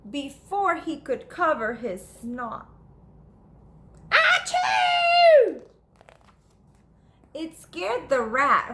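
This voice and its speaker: A woman teacher reading a children's story aloud in an expressive, rhythmic, dramatic delivery. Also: a little high, a little hiss or hum. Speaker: teacher reading to second graders.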